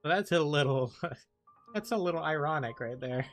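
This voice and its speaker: A woman game streamer with a very deep voice for a woman. She comments casually and reacts aloud in a conversational way, with faint game music behind her. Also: lively intonation, moderate pace. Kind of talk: casual let's-play commentary.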